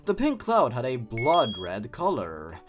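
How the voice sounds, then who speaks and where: Animated man reading with sarcastic asides. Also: mid-range voice, measured pace. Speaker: host. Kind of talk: dramatic reading of a horror story with mocking asides. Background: music.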